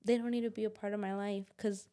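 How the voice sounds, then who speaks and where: A woman's voice: medium pitch, flat delivery, fast, mellow. A young woman co-hosting a podcast, talking casually and confessionally, with slang. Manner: casual, slangy, confessional, drops into quoted inner voices. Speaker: podcast co-host. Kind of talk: candid casual chat.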